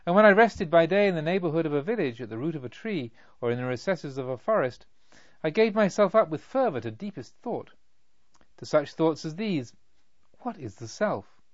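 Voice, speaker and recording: A man's voice: a little high, big pitch swings, moderate pace, dry recording, lo-fi sound. A man voicing an agitated, fervent young male pilgrim, earnest, wordy and exclamatory, in a storybook reading.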